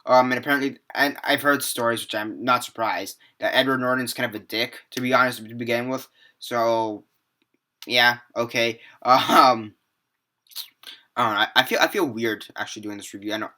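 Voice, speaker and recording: Rambling teenage guy with a bright voice. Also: medium pitch, fairly even intonation, moderate pace. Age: teens. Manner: rambling, filler-heavy, swears casually. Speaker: movie reviewer. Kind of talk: casual unscripted movie review.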